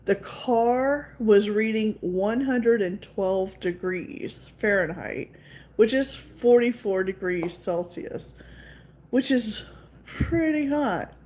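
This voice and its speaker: Southern lady rambling slowly to her stream viewers, lots of um and like. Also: mid-range voice, animated, darkish tone, slight background noise. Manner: rambling, filler-heavy, chatty. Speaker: livestream host. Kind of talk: casual chat with viewers.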